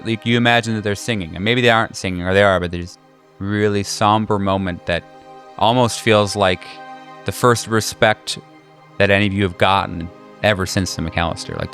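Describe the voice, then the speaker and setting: A man speaking as game master and host of a tabletop horror game, in a halting, informal way with jokey asides. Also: deep voice, fairly bright tone. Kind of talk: narrating a tabletop horror game, casual banter.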